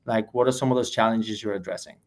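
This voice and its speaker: A man's voice: fairly low pitch, fast talker. A man hosting an interview show over a remote call, reading questions and praising his guest in an upbeat way, with frequent "you know" fillers. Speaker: podcast host.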